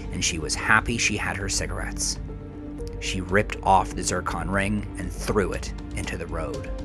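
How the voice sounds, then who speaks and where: A man narrating a dramatic scripted story with an intense, theatrical manner that moves between hushed and forceful. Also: sits low.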